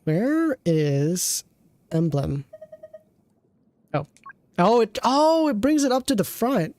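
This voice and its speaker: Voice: mid-range voice, big pitch swings, takes it slowly, darkish tone. A game streamer reviewing an account with casual commentary, thinking aloud and often trailing off mid-thought.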